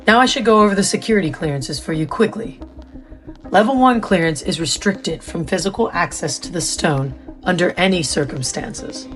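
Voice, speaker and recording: A woman acts as a composed official at a secretive installation in an audio drama. Her voice is slightly low, with formal, measured, businesslike phrasing. Soft music sits underneath. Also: close to an everyday voice.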